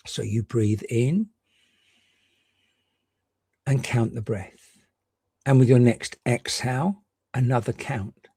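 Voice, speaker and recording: A man gently and reassuringly guiding a meditation, leaving unhurried pauses between short phrases. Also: mid-range voice, slightly rough, dry recording. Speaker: meditation guide. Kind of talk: guided meditation.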